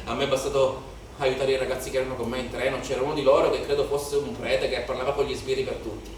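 A man's voice: medium pitch, flat delivery, quick pace, recorded from a distance, natural voice. Actor as young male rioter, very echoey hall. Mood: hushed, secretive. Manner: incantatory, repeating phrases in lists. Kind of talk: theatrical stage monologue. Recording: echoey, noisy live theatre recording from afar.

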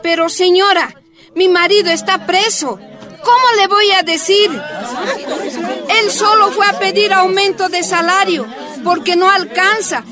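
Impassioned, very high-pitched miner's wife. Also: female voice, steady tone, a little slow, a crowd in the background. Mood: pleading. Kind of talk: radio drama acting.

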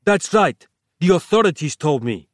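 A man's voice: a little high, animated, moderate pace, no echo, studio quality, voice pushed up, close to an everyday voice. A man voicing a hot-tempered, impatient kidnapper with a gun in a thriller audiobook, barking and snapping his words.

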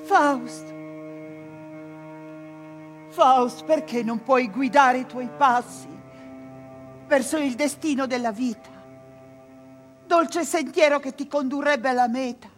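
High, slow, solemn female spirit. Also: soft background music. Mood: imploring. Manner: solemn, measured declamation. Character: solemn female narrator-spirit pleading for a sinner's soul. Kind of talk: theatrical verse radio drama acting.

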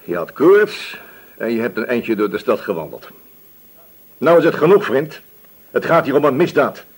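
A probing, husky police inspector. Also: male voice, medium pitch, very animated. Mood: stern, insistent. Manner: probing questions, tag questions, deliberate pauses. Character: male police inspector, probing, patient but increasingly insistent. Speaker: actor in a radio play. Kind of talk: Dutch radio drama interrogation acting.

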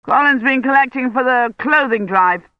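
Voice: high voice, moderate pace, no room sound, muffled recording, voice pushed up, close to an everyday voice. A narrator voicing a weary but firm and protective older academic man. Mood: curt.

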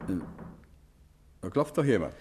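An actor playing a composed gentleman detective in a 1950s radio play, speaking in a calm, measured and quietly ironic way. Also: lowish voice, faint music behind.

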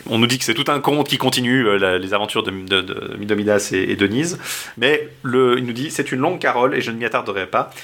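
Male podcast co-host, bright tone, casual storytelling, lots of fillers and restarts. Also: medium pitch, quick pace. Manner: summarizes loosely with many fillers and restarts, laughing often. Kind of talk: casual chat retelling a medieval romance.

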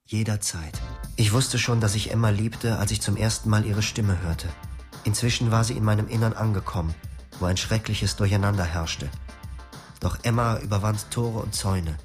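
A man narrating his own story in a radio drama in a quiet, reflective way. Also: fairly low pitch. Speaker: first-person narrator.

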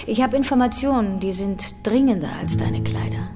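A woman plays a seductive informant in a radio thriller. Her medium-pitched voice is coaxing and insistent, close and secretive, over prominent music. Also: lively intonation, normal speed, lightly acted. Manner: intimate, coaxing, urgent. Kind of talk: radio drama acting.

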